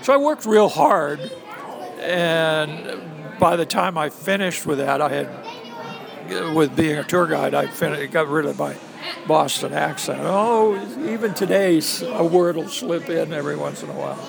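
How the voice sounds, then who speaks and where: Older man, gravelly and rambling. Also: a little high, a crowd in the background. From New England. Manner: rambling, halting, many fillers. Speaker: interviewee, former theme park ride guide. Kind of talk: casual reminiscing interview.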